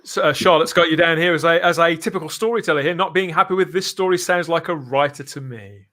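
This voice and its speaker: A man with a slightly high voice presents a live podcast. He talks in a chatty way, reads out news and listener comments, and often turns his statements into little questions. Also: fast talker, pushed and bright. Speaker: podcast host.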